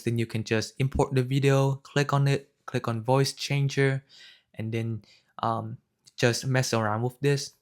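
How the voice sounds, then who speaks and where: A man hosting a software tutorial, explaining each step in an unscripted, halting way. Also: medium pitch. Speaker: tutorial host.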